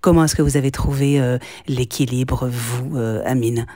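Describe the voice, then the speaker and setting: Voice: mid-range voice, fairly even intonation, moderate pace, dark tone, dry recording, clean studio sound. An interviewer asking formal, polite questions, with some fillers.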